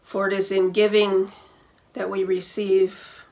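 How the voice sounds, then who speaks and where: A woman minister leading a guided prayer, measured and deliberate, pausing between phrases, with music behind her. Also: medium pitch, monotone, a little mellow. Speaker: minister leading a church service. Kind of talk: slow guided prayer and benediction.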